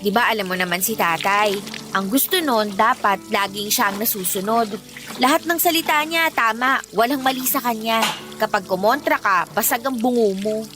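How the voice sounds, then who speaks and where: Radio drama actress as hard-up Filipina teenage working girl, candid emphatic talker, highish voice, music underneath. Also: moderate pace, natural voice. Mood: confiding. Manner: conversational, emphatic storytelling. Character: eighteen-year-old working girl, candid, worn by family hardship. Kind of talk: Tagalog radio drama acting. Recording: music bed under the dialogue.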